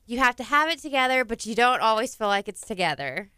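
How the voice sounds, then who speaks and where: Thirties woman, co-host, bubbly and giggly; bright, very clear, dry close sound. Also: fairly high pitch, fast talker, dry recording. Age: 30s. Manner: laughs often, bubbly and animated. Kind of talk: casual chat.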